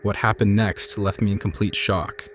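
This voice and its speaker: A man calmly narrating true scary stories in a measured, hushed scripted style. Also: on the low side, slight background noise. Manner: calm, measured, hushed storytelling. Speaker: narrator reading viewer stories. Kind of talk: scripted horror story narration.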